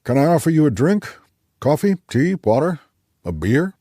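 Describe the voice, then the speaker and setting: A man's voice: fairly high pitch, big pitch swings, moderate pace, warm tone, husky, dry recording, clean studio sound, voice pushed up. A man voicing a cheerful, talkative man of nearly ninety with a wry, genial manner, in an audiobook. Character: spry, cheerful old man near ninety, amateur painter.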